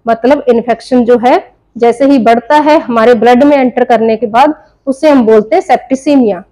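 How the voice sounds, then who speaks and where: A woman's voice: fairly high pitch, normal speed, warm tone, rough recording. A woman teaching a nursing class, explaining step by step and answering her own questions. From India. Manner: explains in Hindi mixed with English terms, asks and answers own questions. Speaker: nursing tutor. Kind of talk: explanatory lecture.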